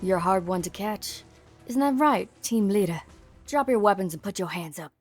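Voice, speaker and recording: A woman's voice: medium pitch, lively intonation, moderate pace, darkish tone, natural voice. A woman voicing a hard-nosed police officer with a commanding, bitter edge. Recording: dry close mic, faint music.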